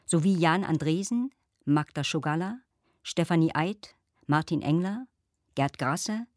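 Low female announcer reading radio play credits, measured and neutral, very dry studio sound. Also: animated, darkish tone, no room sound.

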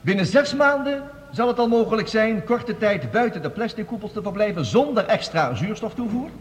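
An actor playing a confident, visionary scientist, giving a formal, eloquent declamatory address in a radio drama. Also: male voice, high-pitched, slight background noise. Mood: rousing, fervent. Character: visionary, confident male scientist presenting a bold Mars plan. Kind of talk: Dutch radio drama acting, formal speech. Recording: long reverberant hall.

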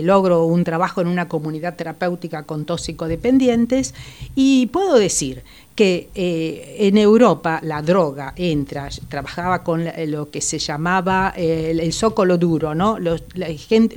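An older woman speaks in a fairly low voice as an interview guest. She thinks aloud and pauses often. Her words are measured and full of small hesitations. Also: a little mellow. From Italy. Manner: reflective, hesitant with frequent fillers. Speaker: psychologist guest. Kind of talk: interview, personal recollection.

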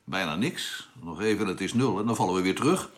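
An actor playing a brisk, impatient spaceship crewman in a science fiction radio drama. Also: sits low, expressive. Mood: strained, focused.

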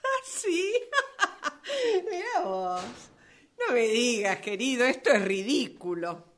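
An actress with a Rioplatense Argentine accent playing a defensive, flustered novelist in a radio play rehearsal, hesitant and protesting. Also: female voice, fairly high pitch, sing-song, takes it slowly. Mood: mocking. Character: flustered, defensive woman novelist facing her own character.

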